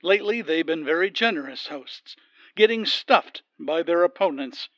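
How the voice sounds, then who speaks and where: A man voicing an excitable play-by-play football announcer in an audio comedy. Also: on the high side, normal speed, no echo, lo-fi sound. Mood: booming, wry. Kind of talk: sports commentary in an audio comedy.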